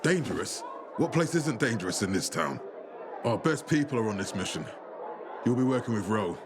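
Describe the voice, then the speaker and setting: Firm commander amid crowd noise. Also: male voice, lowish voice, big pitch swings. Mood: assured, commanding. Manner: firm, brisk, authoritative. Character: commanding, self-assured male militia commander. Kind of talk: video game voice acting. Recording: loud crowd and ambient noise.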